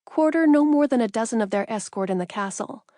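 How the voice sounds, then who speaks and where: A woman voicing a determined young female mage warden, commanding and sharp-tongued, in a fantasy audiobook. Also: fairly high pitch, expressive, a little fast, no echo, studio quality, lightly acted. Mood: firm, commanding.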